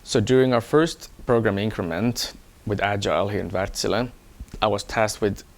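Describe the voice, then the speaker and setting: A man from Hong Kong, an engineer, talking about himself in a measured, explanatory way for an employee profile. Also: on the low side, creaky. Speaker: electronics engineer. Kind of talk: first-person employee profile talk.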